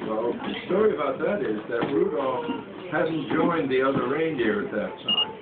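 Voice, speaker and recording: Through a tinny, echoey recording comes a man acting a jolly old Santa Claus. He tells a Christmas poem theatrically and chats kindly with his listeners, while a loud crowd surrounds him. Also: mid-range voice, animated, lightly acted. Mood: chatty, avuncular. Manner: theatrical, avuncular, pauses to chat with listeners. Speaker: Santa performer reading to children. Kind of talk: live storytelling, reading verse aloud with audience asides. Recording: tinny, echoey, distant amateur event recording, noisy crowd.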